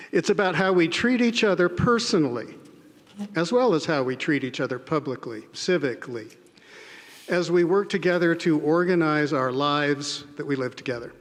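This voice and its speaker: A man, an English professor, giving a measured, earnest prepared speech to a large audience. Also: a little high. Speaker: English professor giving a spoken-word address. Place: large indoor arena. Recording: reverberant arena sound.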